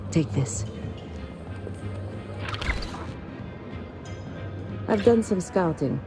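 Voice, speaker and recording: An actress playing a cool, shrewd spy in a video game, briefing in a composed, dry, confiding way. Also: female voice, low voice, gravelly, exaggerated voice. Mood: cool, businesslike. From outside the United States. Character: cool, shrewd female spy posing as trophy wife. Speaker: covert operative. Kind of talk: acted game cutscene dialogue. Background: music.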